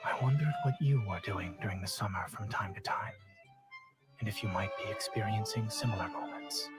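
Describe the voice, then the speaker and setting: A male actor plays a tender young doctor from a romance game, with a low voice. He speaks intimately and with measured, gentle teasing, over loud music. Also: lightly acted. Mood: tender, wistful. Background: prominent violin-led game music.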